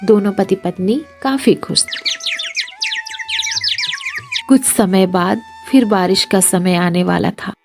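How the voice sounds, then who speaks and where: A woman's voice: medium pitch, slow pace, creaky, pauses often, music in the background. Woman narrating a cartoon story.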